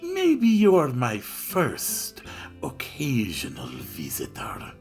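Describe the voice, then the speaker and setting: A man voicing an odd, blunt teenage girl in a visual novel, with a breathy, slithery half-whisper and drawn-out deadpan delivery. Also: mid-range voice, soft background music, full character voice. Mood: languid musing.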